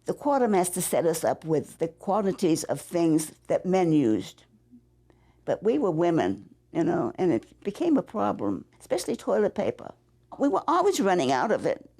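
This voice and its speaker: A woman's voice: lowish voice, big pitch swings, moderate pace, creaky, dark tone, breathy edge. A woman in her nineties with a New England accent, telling her own stories in a chatty interview. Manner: chatty storytelling with asides. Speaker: veteran interviewee. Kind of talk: reminiscing in an interview.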